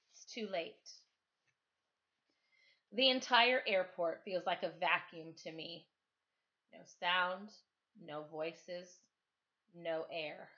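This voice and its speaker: A woman's voice: fairly low pitch, a bit bright. A middle-aged woman, a teacher reading aloud and talking to her pupils from her living room. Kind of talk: storytime reading of a novel, then informal address to pupils. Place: living room at home, on a couch. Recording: dull, lo-fi webcam sound.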